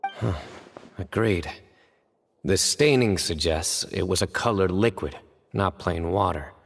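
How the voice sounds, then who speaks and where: Game role: stern young male detective, low-pitched, measured, formal, deadpan, reverberant sound. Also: a little hiss or hum. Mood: analytical. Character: serious, composed young male investigator, former student of scholar. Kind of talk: video game voice acting.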